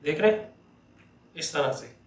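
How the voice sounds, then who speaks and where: A man's voice: fairly high pitch, animated, a little slow, reverberant. A middle-aged man hosting a home cooking channel, explaining each step patiently while he chops at the counter. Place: home kitchen, indoors.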